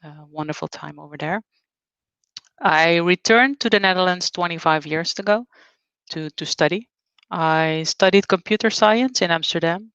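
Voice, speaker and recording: A woman in her forties, raised in Suriname, giving a relaxed, candid talk at an online company event. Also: lowish voice, normal speed, clean tone, no room sound. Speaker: conference speaker. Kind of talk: webinar talk. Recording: dull, lo-fi sound.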